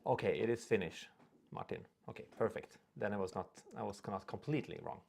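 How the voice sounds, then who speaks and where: A young man with a Swedish accent talks casually through a live stream, reading out viewer comments and sometimes tripping over his own words. His voice sits somewhat low and has a gravelly, fry-tinged texture. A faint hiss runs under the recording. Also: fairly even intonation, a little fast, a little mellow. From Sweden. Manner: rambling, reads comments aloud, trips over words. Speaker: livestream host.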